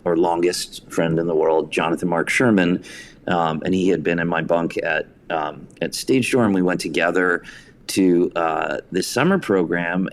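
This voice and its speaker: A man's voice: low voice, a little hiss or hum. An American man in his fifties telling personal anecdotes in an interview, with a self-deprecating, storytelling manner. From suburban New York, United States. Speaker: podcast guest, theater writer and director.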